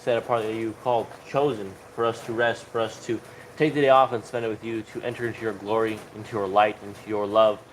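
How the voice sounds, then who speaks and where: A man's voice: fairly low pitch, little pitch movement, fast, a little hiss or hum, low-quality recording. A man leading a spoken prayer in a fervent, flowing voice, stringing phrases together one after another.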